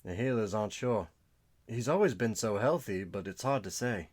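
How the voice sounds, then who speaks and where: A man voicing a loyal nobleman who talks briskly and hurriedly, sounding worried. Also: on the low side, lively intonation, breathy edge, voice pushed up.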